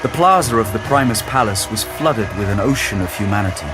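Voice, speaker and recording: A man narrating a scripted audio drama in a measured, solemn, dramatic storytelling style. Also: fairly low pitch, animated, over music.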